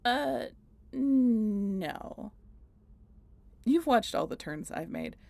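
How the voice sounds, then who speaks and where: A woman's voice: fairly high pitch, very animated, drawn-out words, creaky, a little mellow, dry recording, full character voice. A woman playing a snarky, teasing female vampire in an audio roleplay, talking to the listener in a wry, conversational way. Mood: exasperated. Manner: sardonic, wry, playful, conversational. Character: snarky, swearing female vampire road-tripper, teasing and dramatic.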